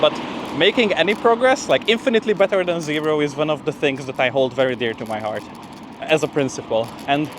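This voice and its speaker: A young man talking casually to camera while walking, slightly out of breath, with informal phrasing full of "like" and "you know". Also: fairly high pitch. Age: young adult. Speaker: PhD student vlogger. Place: outdoors on a street, walking. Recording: clear outdoor street and traffic noise.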